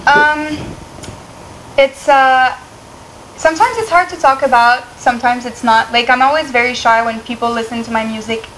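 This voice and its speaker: A young woman from Canada, a singer being interviewed, talking softly and hesitantly with many fillers. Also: a little high, fairly even intonation, moderate pace, a little hiss or hum, low-quality recording. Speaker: singer guest. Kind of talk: casual interview chat.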